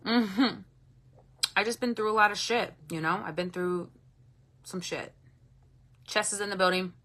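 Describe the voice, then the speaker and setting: A woman's voice: medium pitch, a little slow, a bit bright. A woman in her late thirties hosting a casual livestream, talking candidly and reading out viewer comments, with a chatty manner and the odd swear word. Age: 30s. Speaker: livestream host.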